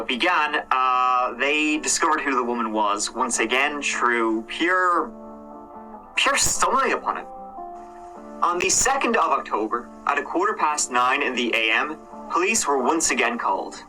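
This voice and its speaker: A man's voice: medium pitch, bright, forceful tone, rough recording. A man casually retelling a true crime case in a conversational way, with hedges and fillers. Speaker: true crime reaction host.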